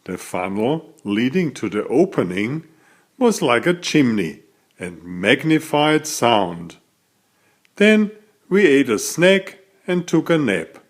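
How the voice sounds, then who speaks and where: A man narrates a children's picture book in a deliberate, dramatic way. His mid-pitched voice swings widely up and down. He takes his time with every sentence. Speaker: children's book author reading aloud. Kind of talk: expressive scripted storybook narration.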